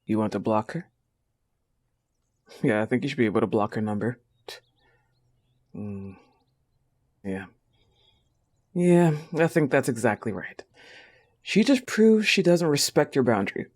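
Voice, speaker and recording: A man voicing a possessive but tender young goth wolf girlfriend, soft-spoken, in an intimate audio roleplay. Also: mid-range voice, expressive, unhurried, pauses often. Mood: restrained, firm.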